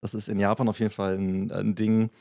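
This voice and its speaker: A man's voice: fairly low pitch, flat delivery, a little fast, vocal fry, dark tone, dry recording, clean studio sound. A man co-hosting a podcast, explaining things in a casual, filler-heavy way. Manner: explanatory, many fillers and restarts. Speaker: podcast co-host.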